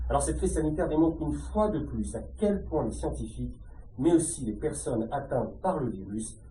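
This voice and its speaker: A man's voice: medium pitch, dark tone. A French man giving a formal speech of appeal to residents as an elected official. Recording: distant, muffled, echoey room with faint noise.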